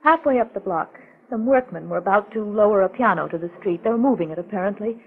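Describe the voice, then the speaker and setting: A woman's voice: mid-range voice, quick pace, husky. An actress playing a frightened but composed woman, telling her story earnestly and hesitantly in a 1940s radio mystery. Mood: uneasy, recounting. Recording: muffled, tinny 1940s radio recording.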